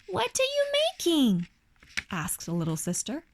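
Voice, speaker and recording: A woman's voice: a little high, a little hiss or hum. A woman with a Chinese accent reads a children's picture book aloud expressively, as a parent would to a young child. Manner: slow, animated storytelling for a young child. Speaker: parent reading a bedtime story to a child.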